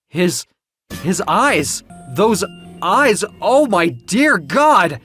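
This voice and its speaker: A man narrating first-person audio fiction in a theatrical, expressive, confiding way. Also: high-pitched. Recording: music under the voice. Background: music.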